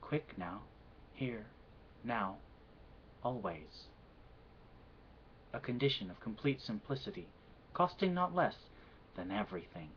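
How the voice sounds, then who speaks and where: A man with a Midwestern accent answering an accent questionnaire and slowly reading a poem aloud, with hesitant pauses. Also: medium pitch, animated, slight background noise. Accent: Midwestern American. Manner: measured and soft-spoken, with hesitant "um"s. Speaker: vlogger answering an accent challenge. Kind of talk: casual answers and slow poetry reading. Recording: quiet, dull lo-fi home recording.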